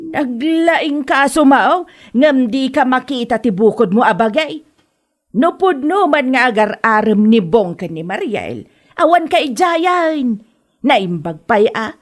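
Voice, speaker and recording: A woman playing a stern village woman who reproaches others in an Ilocano comedy drama, with an Ilocano accent and an admonishing manner. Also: sits high. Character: stern scolding village woman rebuking a gossip.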